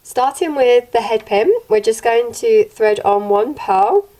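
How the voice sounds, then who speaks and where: Slightly croaky woman teaching a craft. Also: a little high, a little slow, rough recording. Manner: gentle, steady instructional delivery. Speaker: craft channel host. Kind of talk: step-by-step tutorial narration. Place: home room, indoors.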